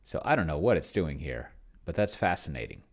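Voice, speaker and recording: A man's voice: extremely low pitch, big pitch swings, brisk. A man talking casually to himself in a rambling think-aloud game commentary, sometimes muttering and reading aloud as he types. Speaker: solo tabletop role-player demonstrating a game.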